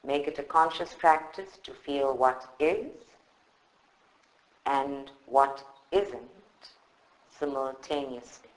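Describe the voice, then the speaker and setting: A man leading a guided meditation in a calm, soothing, measured way with long pauses. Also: mid-range voice. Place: indoor room at a group meditation session. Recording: roomy, echoing live recording.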